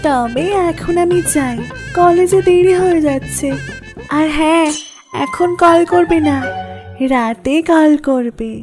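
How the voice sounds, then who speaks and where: Coy young Bengali college girl, played by a woman, cartoon comedy, very high and unhurried, teasing, music underneath. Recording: loud music behind the voice.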